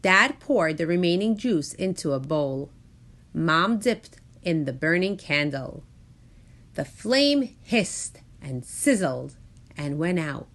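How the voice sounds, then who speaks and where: A woman reads a children's story aloud at an unhurried pace. Her pitch swings widely and she shapes each sentence with deliberate expression. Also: big pitch swings, a bit bright. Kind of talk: animated picture-book read-aloud.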